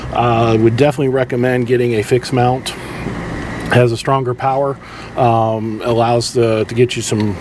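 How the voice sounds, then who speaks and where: A man's voice: mid-range voice, darkish tone. Man explaining boating tips over background noise. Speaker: charter boat captain presenting boating tips. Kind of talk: informal instructional video talk. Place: outdoors in the tower of a sportfishing boat.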